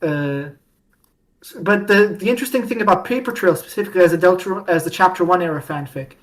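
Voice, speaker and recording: A woman with a fairly low voice hosts a casual fan discussion. She speaks haltingly, often doubling back to restart a phrase before carrying on. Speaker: podcast host.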